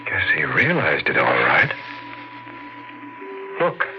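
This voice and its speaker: Deep slow grave policeman. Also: huge pitch swings, faint music behind, heavily acted. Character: grave slow-talking policeman at a crime scene. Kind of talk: 1940s radio thriller acting. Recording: noisy, muffled 1940s radio recording.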